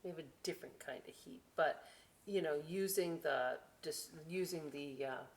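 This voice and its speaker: A woman reading aloud to children as a story time host, expressive and drawn out, with chatty conversational asides. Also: low-pitched, moderate pace, creaky. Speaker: library story time reader.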